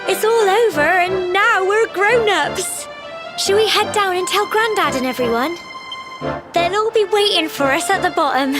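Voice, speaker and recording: An actress playing a spirited, affectionate young village woman in a video game, with an earnest, breathy, wide-eyed manner. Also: very high-pitched, normal speed. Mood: excited, wonderstruck. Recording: loud game music behind voice. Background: orchestral game music.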